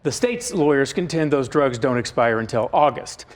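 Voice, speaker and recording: A man's voice: medium pitch, expressive, moderate pace. A man reading scripted TV news as an anchor.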